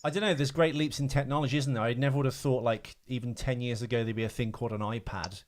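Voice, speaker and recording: A man's voice: medium pitch, fairly even intonation, quick pace. A man co-hosting a podcast with casual, comic film chat, full of swearing and mock-grumpy jokes. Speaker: podcast co-host.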